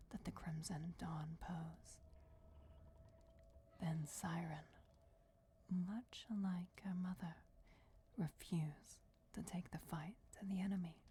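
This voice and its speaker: A woman voicing an ancient, regal and patient vampire deity in a soft, breathy whisper, formal and deliberate, for a fantasy ASMR roleplay. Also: on the low side. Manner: slow, deliberate, formal, measured. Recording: clear background noise, crypt ambience. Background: crypt ambience.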